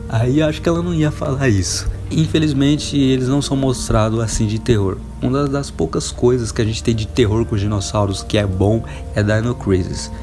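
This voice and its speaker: A man's voice: mid-range voice, fairly even intonation, fairly quick, hardly any pauses, music in the background. A Brazilian man hosting a casual enthusiast video, talking in an emphatic, informal, wonderstruck way. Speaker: video host.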